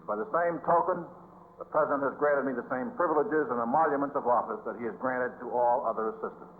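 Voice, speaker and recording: Fast formal man on tinny old recording. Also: mid-range voice. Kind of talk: formal prepared statement. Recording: tinny, noisy 1950s newsreel sound.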